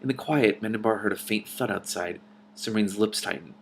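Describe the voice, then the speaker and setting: A man reading a novel aloud and adding his own commentary, with an expressive, animated delivery. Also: on the low side, moderate pace, gravelly, a little hiss or hum. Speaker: reader and commentator.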